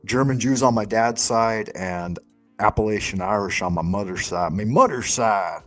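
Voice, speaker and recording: A man's voice: lowish voice, normal speed, faint music behind. A man hosting a stream, rambling in casual chat and swearing freely. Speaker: streamer host.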